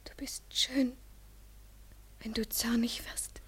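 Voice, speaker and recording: An actress in a literary German radio play playing a tender, devoted woman in love, fragile and imploring, often breathy and near a whisper. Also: fairly high pitch, level tone, slow pace, exaggerated voice. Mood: adoring, whispered.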